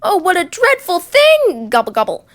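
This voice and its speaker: A woman voicing excitable, worried farmyard birds in a children's story, full of exclamations. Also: very high-pitched, normal speed, pitched above the performer's own voice, heavily acted. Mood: alarmed. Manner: exclamatory, quacking, honking and gobbling.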